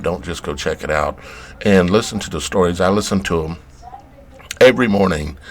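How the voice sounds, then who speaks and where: A man with a gravelly voice, speaking casually to viewers as a show host. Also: low voice, fairly bright tone, a little hiss or hum. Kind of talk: casual spoken introduction to viewers.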